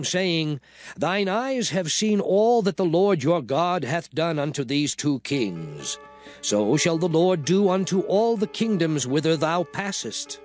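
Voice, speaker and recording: A solemn male prophet addresses his people in a dramatized scripture reading. The voice sits fairly high and swings widely in melody as he declaims in archaic, oratorical phrases. Music plays clearly behind him. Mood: solemn, assuring. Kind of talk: dramatized King James Bible reading.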